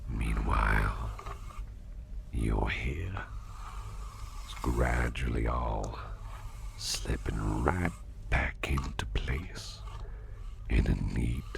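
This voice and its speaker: A man playing a kindly, attentive businessman boss in a gentle, whispered, gravelly ASMR roleplay that soothes an employee. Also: a bass voice, full character voice. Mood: soothing, hushed. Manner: gentle, slow, reassuring. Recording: clearly audible background noise.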